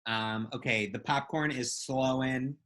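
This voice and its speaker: A woman's voice: rumbling low, fairly even intonation, unhurried, fairly bright tone. A woman co-hosting a casual live podcast chat in a playful way. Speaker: podcast co-host.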